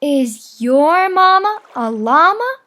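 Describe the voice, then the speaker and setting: A woman voicing a curious, agreeable young llama from a picture book, with wondering, drawn-out phrasing. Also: sits high, big pitch swings, sharp tone, exaggerated voice.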